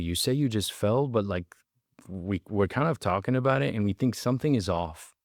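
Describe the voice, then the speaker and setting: A man's voice: lowish voice, darkish tone, no room sound, studio quality. A man giving an earnest personal testimony in a monologue, repeating phrases and drawing out key words for emphasis. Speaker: storyteller recounting his life.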